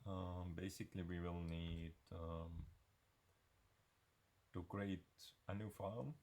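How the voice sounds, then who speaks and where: A man giving an unscripted software screencast tutorial in a halting, hesitant way. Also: sits low, steady tone.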